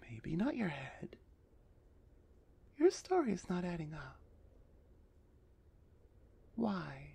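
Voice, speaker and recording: Female minotaur executioner, played by a woman: slow, raspy half-whisper, gruff, impatient, sardonic, ASMR roleplay delivery. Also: lowish voice, warm tone, exaggerated voice. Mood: incredulous. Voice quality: husky, breathy, often half-whispered. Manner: impatient, sardonic, addressing a captive.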